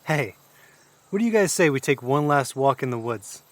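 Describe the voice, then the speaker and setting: A young man acting in an audio drama as a shaken young man who tells of things earnestly, with halting pauses. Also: medium pitch, expressive, no room sound, close to an everyday voice. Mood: casual. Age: young adult. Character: shaken young man recounting an eerie vision.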